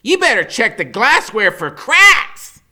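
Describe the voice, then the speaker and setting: A shrill wisecracking boy genius. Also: wildly swooping pitch, slow, sharp tone, exaggerated voice. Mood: teasing. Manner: cocky, wisecracking. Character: brainy smart-mouthed thirteen-year-old boy.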